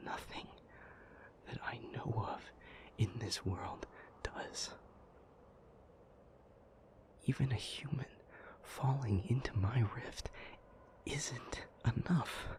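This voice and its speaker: A man playing an intense, anguished demon in an intimate audio roleplay, speaking in breathy, halting, deliberate phrases. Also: lowish voice, darkish tone. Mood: grave, pondering. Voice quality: breathy, mostly whispered. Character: intense, anguished male demon, brooding and reasoning aloud.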